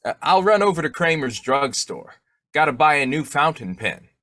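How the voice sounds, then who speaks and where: A man playing a blustery, boyish, quick-tempered bumbling husband in old-time radio comedy, with a folksy, slangy, argumentative way of talking. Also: fairly high pitch, sing-song, pushed and bright, no echo, clean studio sound. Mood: joking.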